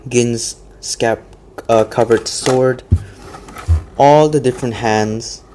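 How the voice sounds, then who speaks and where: A man's voice: mid-range voice, fairly even intonation, slow, noisy background. A man casually explaining his custom figure work step by step, with occasional fillers. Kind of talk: casual product walkthrough.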